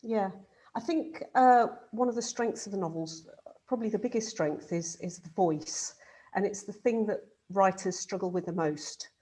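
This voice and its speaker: Woman, interviewer, middle pitch, hesitant, many fillers, video call. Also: normal speed, dark tone. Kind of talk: interview questions.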